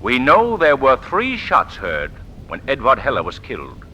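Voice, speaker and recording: Self-assured male avenger, incisive and very sharp, dramatic rises and falls, declamatory, old-time thriller, faint recording noise. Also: mid-range voice, huge pitch swings, normal speed, poor audio. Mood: commanding. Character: commanding, self-assured gentleman sleuth and mysterious avenger. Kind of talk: 1940s radio acting.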